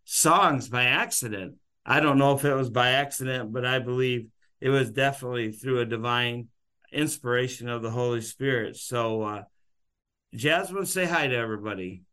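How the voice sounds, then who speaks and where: Man, podcast host, rambling casual chat, average pitch, faint background music. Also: sharp tone.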